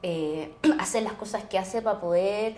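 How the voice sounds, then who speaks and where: A Chilean woman talking as a podcast guest, reflective and casual, with searching, halting phrasing. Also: medium pitch, darkish tone. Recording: roomy echo, faint background noise.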